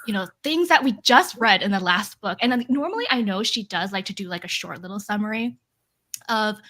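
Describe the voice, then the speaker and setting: A woman's voice: mid-range voice, lively intonation, a little fast, a bit bright. A woman talking casually as a panelist in a group book discussion.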